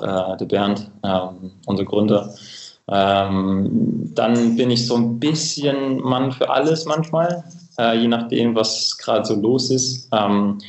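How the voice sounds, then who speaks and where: A man's voice: mid-range voice, darkish tone. A man from England, a native English speaker with an English accent, chatting casually and hesitantly as a podcast guest. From Cambridge, England. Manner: hesitant, searching for words. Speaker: podcast guest, company spokesman. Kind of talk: casual interview chat. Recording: roomy, echoing remote connection.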